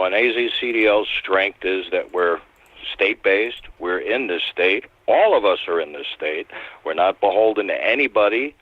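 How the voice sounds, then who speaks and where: A man calling in as a radio guest who founded an advocacy group, speaking in a steady, persuasive, plain-spoken way. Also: fairly low pitch, no echo. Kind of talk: casual radio talk. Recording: tinny phone line.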